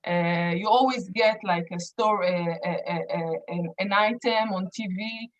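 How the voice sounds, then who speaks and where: A woman's voice: medium pitch, normal speed. Earnest woman in her thirties. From Israel. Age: 30s. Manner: earnest, many fillers and restarts. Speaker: activist and association chairperson. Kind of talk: personal account in an interview.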